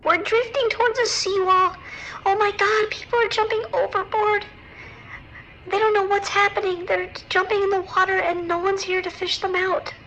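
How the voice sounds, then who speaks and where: A very high, shaky woman's voice, an actress playing a frightened passenger on an emergency call. She sounds hesitant and alarmed over faint background ambience, in audio drama style. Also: little pitch movement, normal speed, a bit bright, slight background noise, poor audio. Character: frightened, stranded female cruise ship passenger calling emergency dispatch.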